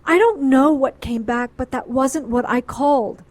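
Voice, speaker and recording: A woman's voice: sits high, unhurried, darkish tone, no echo. Desperate, defiant woman occultist. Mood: defensive, anguished. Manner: earnest, indignant, intense. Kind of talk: audio drama acting.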